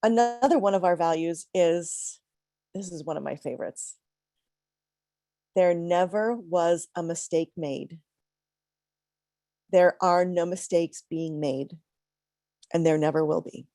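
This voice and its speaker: A middle-aged woman minister giving a reflective, conversational sermon with pauses, a little congested from a cold. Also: fairly low pitch, dark tone, dry recording, studio quality.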